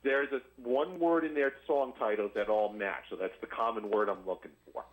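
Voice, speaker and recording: A man calling in to a radio show, chatting casually in a halting, deliberate way. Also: on the low side, narrow, tinny audio. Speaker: radio call-in listener. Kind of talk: casual call-in chat.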